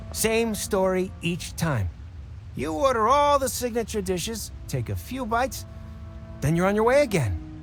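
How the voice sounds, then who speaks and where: A pushy male swindler in an anime game, high-pitched and theatrical. He wheedles and bullies with big swings in pitch over loud music. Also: heavily acted. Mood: angry, insistent. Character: smarmy, pushy small-time swindler gang boss.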